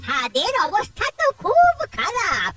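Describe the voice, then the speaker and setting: A toothless old cartoon grandfather, wheedling and genial yet crafty. The voice is extremely high and animated, somewhat distant, with loud music behind it. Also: a little slow, very noisy, exaggerated voice. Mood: scheming, businesslike. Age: older. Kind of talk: exaggerated Bengali cartoon dialogue.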